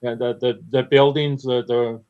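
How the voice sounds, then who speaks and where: Middle-aged veteran, halting, dull audio. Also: male voice, mid-range voice, little pitch movement, a little mellow. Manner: halting, searching for words. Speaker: military veteran on a podcast panel. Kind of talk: casual podcast discussion.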